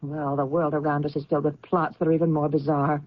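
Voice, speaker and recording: An actress playing an anxious career woman convinced of a plot against her, halting and agitated, in radio drama style. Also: deep voice, little pitch movement, no room sound. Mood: insistent, agitated. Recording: dull, band-limited old radio recording.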